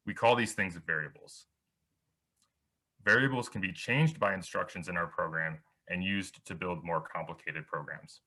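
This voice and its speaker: A man's voice: sits low, pushed and bright. A young man giving a beginner programming workshop over slides, explaining step by step with small restarts. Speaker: workshop instructor.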